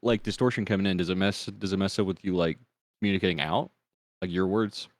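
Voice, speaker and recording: A man's voice: sits low, fairly even intonation, quick pace, no echo. A man co-hosting a podcast, asking curious, rambling questions full of "like". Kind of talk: casual podcast chat.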